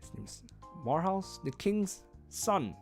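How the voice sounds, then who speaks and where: A woman streamer reading a classic novel aloud as expressive read-along narration. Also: medium pitch, slow pace. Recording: faint music behind the voice.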